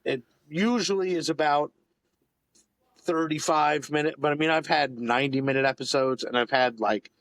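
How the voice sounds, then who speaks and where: A man's voice: fairly high pitch, moderate pace, a bit bright, no room sound. A man in his fifties with an American accent, hosting a podcast in casual, rambling chat full of fillers. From Capital Region of New York. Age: 50s. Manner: rambling, filler-heavy storytelling with lots of laughs. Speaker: podcast host.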